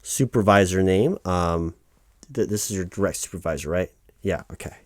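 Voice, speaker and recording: Low-voiced, officious HR man, roleplayed. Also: dry recording, clean studio sound, natural voice. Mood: businesslike. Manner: halting, bureaucratic, reading form fields aloud. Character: officious, patient male HR officer doing paperwork. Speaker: human resources interviewer filling out forms. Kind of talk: one-sided audio roleplay, ASMR.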